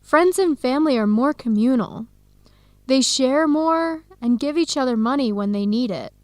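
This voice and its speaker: An actress playing an earnest young Ethiopian-student in a radio drama. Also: female voice, high voice, animated, moderate pace, no echo. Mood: explaining, defensive. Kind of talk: radio drama acting, simulated video call.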